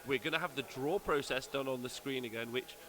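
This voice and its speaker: A man's voice: medium pitch, brisk, a bit bright. A man hosting a live tabletop gaming stream, chatting casually with many fillers and restarts. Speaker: stream host.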